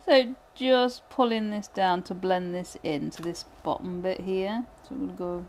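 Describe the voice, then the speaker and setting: A woman from Britain, teaching pyrography live, chatting and thinking aloud with many fillers while she works, her voice going a little hoarse. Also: fairly low pitch, fairly even intonation, moderate pace, a little mellow, slight background noise. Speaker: pyrography instructor. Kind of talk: live tutorial chat while working.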